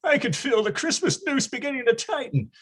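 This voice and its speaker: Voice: high-pitched, very animated, fairly quick, slightly rough, exaggerated voice. A performer playing a nostalgic, melodramatic storyteller, delivering wry and grandiose scripted comic narration. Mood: ominous. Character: melodramatic, nostalgic storyteller recalling boyhood schemes.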